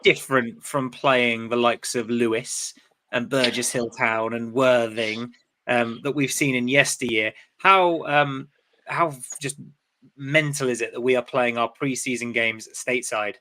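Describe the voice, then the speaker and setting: A man's voice: medium pitch, fairly even intonation, unhurried, pushed and bright. A man hosting a live-stream fan podcast, chatty and loose, often repeating words as he goes. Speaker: podcast host. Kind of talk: casual live-stream chat.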